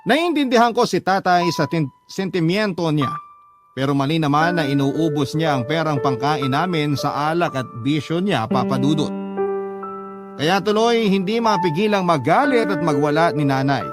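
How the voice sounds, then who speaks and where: A troubled storyteller voiced by a man recounts family hardship in a radio drama. The voice is somewhat high and sentimental, with clear piano music underneath. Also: expressive, moderate pace, natural voice. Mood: troubled, confiding. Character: troubled eldest child of poor family, recounting life. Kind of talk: first-person radio drama narration. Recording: prominent piano music behind narration.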